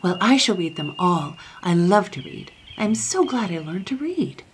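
A woman's voice: lowish voice, big pitch swings, normal speed, a little hiss or hum. Woman voicing an eager, impatient little boy king. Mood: cheerful, impatient. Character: eager, curious, bookish young boy king.

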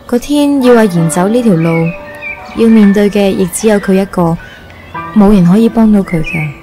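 A woman acting a kindly nun in a radio drama, giving measured, philosophical advice in Hong Kong Cantonese. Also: medium pitch, warm tone, soft background music, natural voice. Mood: earnest, guiding. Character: calm, kindly nun counselling a grown orphan.

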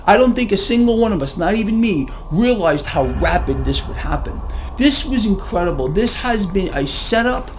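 A man's voice: high-pitched, animated, normal speed, slight background noise. A man giving urgent political and economic commentary in an emphatic, alarmed manner.

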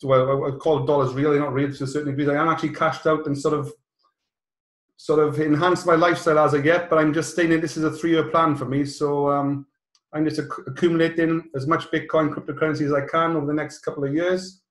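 Echoey, even-toned middle-aged British man. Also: a little high, fast talker. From South Wales, UK. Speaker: host of an online presentation call. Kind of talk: informal webinar introduction. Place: small indoor office room, on a video call. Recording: roomy, echoey video call.

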